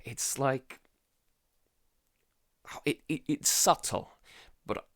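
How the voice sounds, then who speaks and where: A man playing a paranoid, isolated man in an audio drama, halting and stammering, often sighing and dropping into whispers. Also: mid-range voice, gravelly, a bit bright, dry recording, clean studio sound, exaggerated voice. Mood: distracted, uneasy. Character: paranoid isolated man unravelling under a creeping unseen menace. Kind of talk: audio drama monologue, fragmented confessional.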